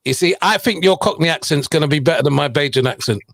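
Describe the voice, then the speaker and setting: A man's voice: fairly high pitch, fast talker, creaky, a bit bright, dry recording, studio quality. A middle-aged man hosting a radio show, chatting and teasing his guest in a casual interview.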